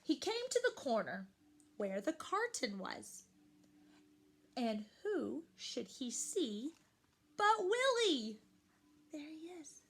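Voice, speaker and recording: A woman reading a picture book aloud to young children, expressively and with questions to the listeners. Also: high voice. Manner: animated, slow and deliberate, addressing young children.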